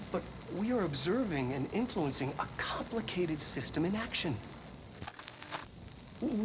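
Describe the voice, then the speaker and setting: Man acting an earnest scientist, higher-pitched, noisy recording. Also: natural voice. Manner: deliberate, searching, philosophical. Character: earnest, pondering scientist of the paranormal. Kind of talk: recorded scientific lecture to camera. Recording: clearly noisy background hum.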